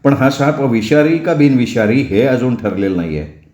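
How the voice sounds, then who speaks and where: A man voicing a calm middle-aged village doctor who reassures worried people. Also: medium pitch, moderate pace, roomy sound, close to an everyday voice. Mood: reassuring.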